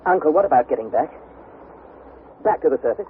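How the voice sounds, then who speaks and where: A man's voice: a little high, expressive, a little fast, narrow, tinny audio, lightly acted. An actor playing an anxious young explorer in a vintage radio adventure, narrating his diary with theatrical intensity. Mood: worried. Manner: theatrical, intense, breathless delivery. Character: young male explorer, dramatic, anxious diarist. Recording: noisy, phone-thin vintage radio recording.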